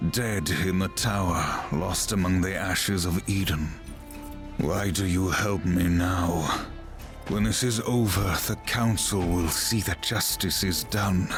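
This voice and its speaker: A man playing a grim, vengeful horseman of the apocalypse in a video game, speaking in a stern, terse, measured way. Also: deep voice, music in the background. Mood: resolute. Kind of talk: dramatic video game cutscene acting. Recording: orchestral score behind voice.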